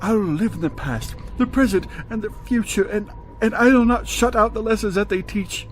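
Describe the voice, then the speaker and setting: A man's voice: sits high, soft background music, close to an everyday voice. A man playing a frightened, repentant old miser in a radio drama, stammering and pleading as he draws out his words. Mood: desperate pleading. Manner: stammering, pleading, drawn-out delivery. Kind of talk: theatrical radio drama acting.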